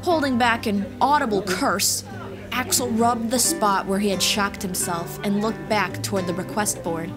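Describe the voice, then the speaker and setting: A woman reads a fantasy story as its narrator, in a mid-range voice. Music plays clearly behind her scripted narration. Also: mid-range voice, a little slow.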